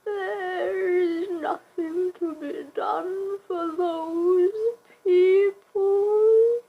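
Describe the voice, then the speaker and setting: An actress playing a mournful, brooding old Irish wife in a radio play, with halting, drawn-out phrases and long pauses. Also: female voice, very high-pitched, fairly even intonation, narrow, tinny audio, full character voice. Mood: mournful, wistful. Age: older. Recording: noisy old cassette of radio broadcast.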